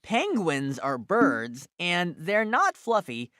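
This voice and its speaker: A patient cartoon teacher friend, voiced by a woman, speaking slowly and instructively to young children. The voice has an expressive rise and fall and a dry, close sound. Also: lowish voice, no room sound, heavily acted. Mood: explaining. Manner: clear, instructive, simple sentences for children. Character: patient, knowledgeable cartoon teacher friend explaining animal facts. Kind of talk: children's educational cartoon dialogue.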